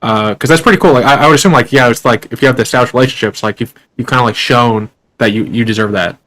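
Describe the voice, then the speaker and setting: Fast-talking young man, rambling host. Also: mid-range voice, fairly bright tone. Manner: rambling, many fillers and restarts. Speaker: podcast host. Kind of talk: casual interview.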